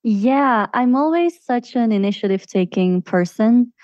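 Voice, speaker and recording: A woman's voice: fairly high pitch, lively intonation, a little slow, darkish tone, no echo, muffled recording. A woman from Belgium with a Belgian accent, chatting casually as a podcast guest. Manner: chatty, with fillers and restarts. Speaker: podcast guest, virtual assistant. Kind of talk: casual interview answers.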